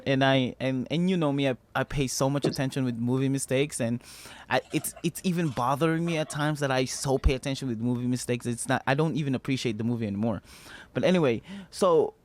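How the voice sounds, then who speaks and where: A man co-hosting a casual movie review chat, animated and laughing often, with many fillers. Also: mid-range voice. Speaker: podcast co-host.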